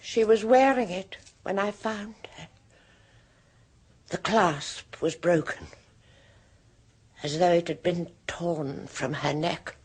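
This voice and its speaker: A sly, husky, knowing woman. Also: fairly low pitch. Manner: sly, drawn-out, mocking. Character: knowing middle-aged woman, sister of a medium, sly and mocking. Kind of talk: 1970s radio drama acting.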